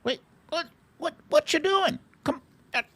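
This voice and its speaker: A man's voice: very high-pitched, big pitch swings, a little slow, a bit bright, pitched above the performer's own voice, full character voice. A man voicing a playful, chummy kangaroo that insistently pesters a resting friend. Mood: pestering, puzzled.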